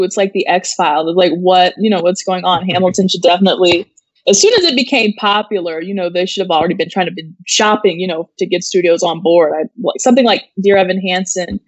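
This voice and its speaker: A woman's voice: lowish voice, fairly quick, no echo, highs cut off. Chatty American woman, dry close sound. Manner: chatty, peppers talk with "like". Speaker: co-host of an entertainment news show. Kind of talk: casual chat.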